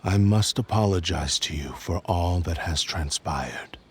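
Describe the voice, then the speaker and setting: A man's voice: sits low, measured pace, slight background noise. A man playing a grave, remorseful fallen swordsman in a video game, formal and proud as he beseeches. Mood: remorseful, pleading.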